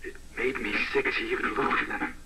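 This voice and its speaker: A man playing a troubled archaeologist who gives grave, halting testimony in an analog horror tape. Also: medium pitch, big pitch swings. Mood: grave, formal. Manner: halting, stammering in places. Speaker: archaeologist witness in a horror series. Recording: very noisy, degraded vintage tape sound.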